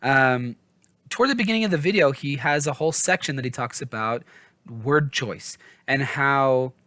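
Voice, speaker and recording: A man talks casually to his viewers in a medium-pitched voice, recorded dry with very little room sound. His delivery is informal and hesitant, wandering through his opinions and readily playing down his own expertise. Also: normal speed, bright, forceful tone, no echo. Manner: informal, hesitant, self-deprecating, rambling. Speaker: book review vlogger. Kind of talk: casual chatty book discussion to viewers.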